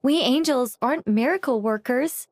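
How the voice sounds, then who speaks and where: A woman playing a composed, cautious female angel mage who explains things in a measured way in a video game. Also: high-pitched, darkish tone. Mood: reluctant, cautious. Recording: music behind the voice.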